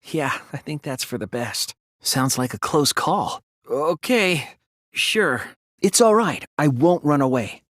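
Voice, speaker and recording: A man voicing an earnest, timid yet resolute teenage boy detective in an English anime game dub, polite and soft-spoken. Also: a little high, animated, slow, dry recording, clean studio sound. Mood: calm, thoughtful. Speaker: video game voice actor.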